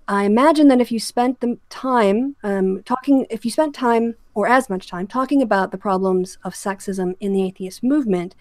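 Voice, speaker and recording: A woman hosting an online discussion, speaking casually and haltingly as she comments, often correcting herself. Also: mid-range voice, a little mellow, no echo, studio quality. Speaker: host of an online discussion channel.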